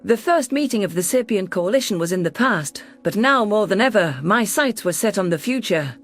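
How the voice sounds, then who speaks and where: A spirited female alien diplomat, acted by a woman, mid-pitched and earnest. She narrates and speaks assertively in a sci-fi audio drama, with soft music behind her. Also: lively intonation, normal speed, close to an everyday voice. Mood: reflective. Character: spirited, defiant female alien ambassador, fiercely protective of humans. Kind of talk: science fiction audio drama, first-person narration and dialogue.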